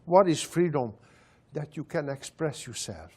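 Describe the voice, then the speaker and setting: An older Hungarian man speaks in slow, broken English with a strong Hungarian accent. He pauses often and laughs between his phrases. His voice sits a little high for a man. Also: lively intonation, a little mellow, husky. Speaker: panelist. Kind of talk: casual storytelling.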